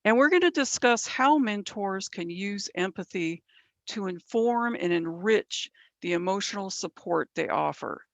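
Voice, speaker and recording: A woman's voice: medium pitch, lively intonation, measured pace, dry recording. A woman presenting a mentoring training session, explaining step by step and often checking in with "right?", her voice a little worn. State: losing her voice. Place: from San Bernardino County, California.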